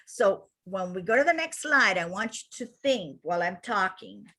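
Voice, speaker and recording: A woman with a Cuban accent, a childcare center director giving an energetic webinar presentation to attendees over a video call. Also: medium pitch, normal speed. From Cuba.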